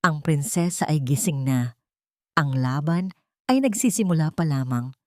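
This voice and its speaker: A woman's voice: low-pitched, lively intonation, unhurried, dry recording. A woman narrating a scripted first-person dramatic audiobook in a solemn, suspenseful manner.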